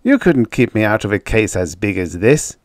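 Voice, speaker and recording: A man's voice: on the low side, sing-song, dry recording. Storybook role: canny veteran attorney, played by a man, firm and dry, in a close dry recording. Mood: guarded, resolute. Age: older. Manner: dry, mocking, deliberate. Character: tall, fierce old defense lawyer, dry and shrewd.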